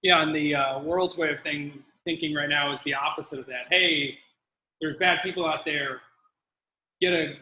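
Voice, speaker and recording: A man hosts a live forum and talks casually. His voice is very bright and flat in pitch, and the room is echoey. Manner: casual, conversational. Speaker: forum host. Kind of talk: hosting a live Q&A.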